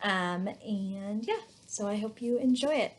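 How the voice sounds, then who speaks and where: A woman reading a children's picture book aloud with expressive, deliberate phrasing, as a teacher would for young listeners. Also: mid-range voice. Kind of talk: children's storybook read-aloud. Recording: noisy home recording.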